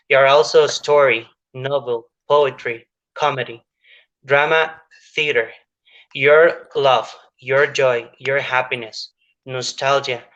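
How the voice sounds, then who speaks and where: A man reads a poem in measured lines and stumbles now and then. His voice is bright and forceful. The call audio sounds muffled and lo-fi. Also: mid-range voice. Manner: measured reading with small stumbles. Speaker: poet reading a translation. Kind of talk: poetry recitation. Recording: dull lo-fi online call audio.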